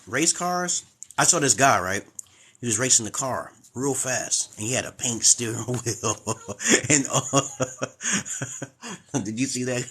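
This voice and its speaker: A man's voice: fairly low pitch, pushed and bright, slight background noise. A man in his forties from Brooklyn, hosting a casual livestream and rambling from topic to topic. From Brooklyn, New York, USA. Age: 40s. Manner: rambling, loses his train of thought. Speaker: livestream host.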